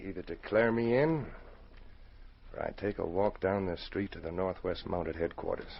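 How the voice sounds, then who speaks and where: A man's voice: low-pitched, moderate pace, vocal fry, slight background noise. A man acting a hard, distrustful ex-convict in a 1940s radio drama, insistent and menacing, on a muffled old recording. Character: hard, distrustful ex-convict pressing a blackmail demand.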